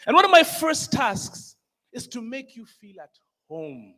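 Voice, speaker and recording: High-voiced Ugandan emcee rousing a crowd. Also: male voice, measured pace. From northern Uganda. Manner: energetic, crowd-rousing, switches into French. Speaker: conference master of ceremonies. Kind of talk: lively emcee hosting a conference. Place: large conference hall.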